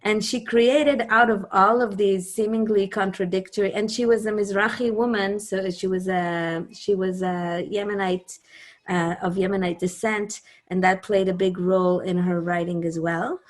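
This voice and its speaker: A woman from Israel whose first language is Hebrew, a musician talking casually over a video call, searching for words with many pauses and restarts. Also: mid-range voice, steady tone.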